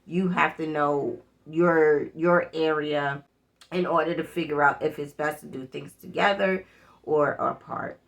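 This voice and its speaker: A middle-aged woman with a slightly low voice talks to her viewers in a casual, chatty way. She hosts a demonstration and circles back over her points to stress them. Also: fairly even intonation, unhurried, creaky. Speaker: prepper vlog host. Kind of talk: casual product demonstration chat.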